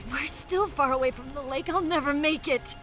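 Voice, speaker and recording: High-voiced wounded female spy. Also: normal speed, fairly bright tone, faint music behind. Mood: pained, resigned. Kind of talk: cinematic video game voice acting.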